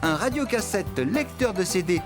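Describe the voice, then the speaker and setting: A man's voice: sits high, animated, normal speed, over music. A man voicing an easygoing, untidy farmhand in a children's cartoon. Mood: cheerful, festive. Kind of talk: French children's cartoon dubbing.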